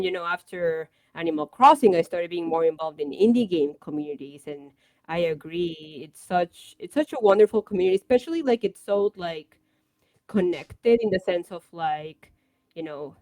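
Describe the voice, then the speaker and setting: A woman's voice: fairly low pitch, moderate pace, darkish tone, poor audio. A young adult woman streamer talking in a casual livestream chat, enthusiastic and rambling with many fillers. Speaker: streamer guest.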